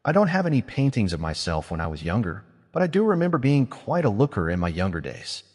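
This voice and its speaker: A man's voice: lowish voice, sing-song, quick pace, a little mellow, in a large hall. A man voicing a vain, playful witch woman who has been made young again, teasing and self-satisfied.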